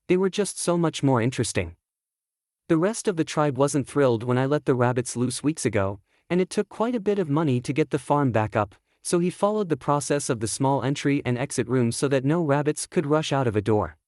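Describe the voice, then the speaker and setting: A man's voice: mid-range voice, animated, brisk, a little mellow, no echo, clean studio sound. A man reading scripted first-person story narration as the author of a fantasy audiobook.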